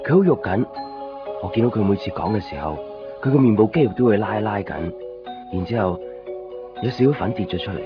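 A man's voice: on the low side, animated, a little fast, long pauses, lightly acted. An actor playing an earnest, introspective young man in a radio drama, speaking in dialogue and inner monologue. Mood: brooding. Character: earnest, brooding young man, 天朗, working at a shop. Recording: muffled 1990s radio drama under music.

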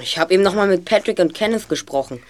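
A woman's voice: lowish voice, expressive, fast, faint music behind, natural voice. A woman playing an earnest, analytical teenage boy detective in an audio drama, precise and inquisitive. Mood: serious, puzzled.

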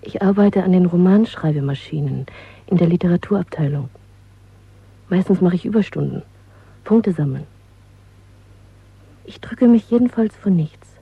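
A frank young rebel woman in a radio play, unabashed, husky, tinny old sound. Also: fairly low pitch, normal speed, pauses often, no room sound. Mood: matter-of-fact. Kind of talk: German radio drama acting. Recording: thin, phone-like old radio sound, dry.

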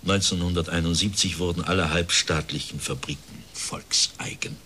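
Resigned middle-aged company director, radio drama, bright forceful voice, emphatic, faint rumble behind. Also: male voice, fairly even intonation, normal speed. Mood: earnest, explaining. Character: bitter, resigned plump factory director of fifty-one.

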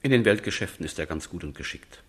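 Deep, pompous, pedantic envoy. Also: male voice, animated, quick pace, a bit bright, breathy edge, exaggerated voice. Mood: condescending, pompous. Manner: pompous, fussy, condescending. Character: pedantic, pompous male envoy, stiff lover of order. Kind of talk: period radio drama acting.

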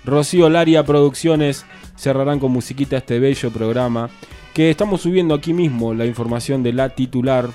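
A man's voice: medium pitch, normal speed, mellow, faint music behind. A man with an Argentine accent hosting a casual radio interview in an informal, jokey, colloquial way. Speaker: radio host.

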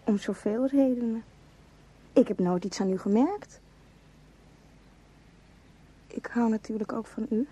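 A woman's voice: on the high side, unhurried, pauses often, warm tone, breathy edge. A woman acting in a radio play as a shy, earnest seventeen-year-old girl in love, hesitant and polite. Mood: shy, yearning. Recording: dull, muffled old radio play recording.

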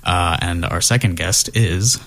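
A relaxed male radio host chats loosely from a studio, chuckling as he goes. His voice sits somewhat low. He speaks into a close studio microphone. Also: unhurried, gravelly. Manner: laid-back, chuckles often. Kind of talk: casual radio chat.

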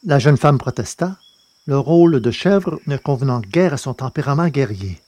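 Male audiobook narrator, mid-pitched, measured and steady, podcast novel reading. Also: dry recording. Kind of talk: scripted narration of a science-fiction novel.

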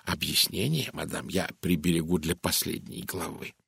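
A man voicing a polite, methodical little Belgian detective in an audiobook, courteous, measured and deliberate. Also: low-pitched, vocal fry, a bit bright, husky, no room sound, clean studio sound, pitched below the performer's own voice, heavily acted. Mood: courteous, sly. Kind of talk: audiobook character voice.

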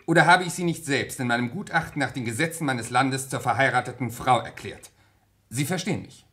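A man playing an insistent, cryptic gentleman in an old German radio crime play, speaking formally and pointedly. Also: mid-range voice, a little fast, breathy edge. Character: insistent, cryptic English gentleman consulting a lawyer. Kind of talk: German radio crime play acting from the mid-20th century.

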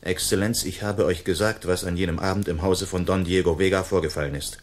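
A man's voice: deep voice, fairly even intonation, a little fast, lightly acted. A man acting a proud, gallant swordsman outlaw in a radio play, speaking formally and commandingly with defiant threat. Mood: threatening.

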